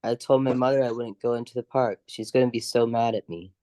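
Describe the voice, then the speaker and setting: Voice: mid-range voice, fairly even intonation, fairly quick, mellow, lightly acted. An adult performer playing a brave but scared young boy in an amateur radio drama. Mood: anxious, relieved.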